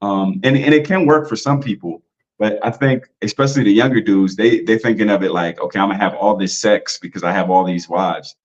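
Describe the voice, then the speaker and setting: A man's voice: lowish voice, a little fast, dull audio. A man in a casual podcast talk, loose and slangy, full of "like" and "you know". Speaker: podcast guest.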